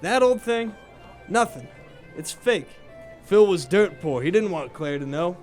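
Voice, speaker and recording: A man's voice: on the high side, moderate pace, warm tone, faint music behind, lightly acted. A man playing a friendly nightclub bartender in a noir audio drama, folksy and hesitant as he informs. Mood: frank. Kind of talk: noir radio drama dialogue.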